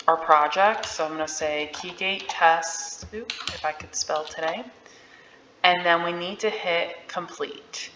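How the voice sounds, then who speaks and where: A woman giving a casual, candid software walkthrough in an informal, unscripted way. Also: fairly low pitch, a little hiss or hum. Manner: informal, candid about not being an expert. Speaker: realtor giving a software tutorial. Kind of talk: casual screen-share walkthrough. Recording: dull, roomy-sounding audio.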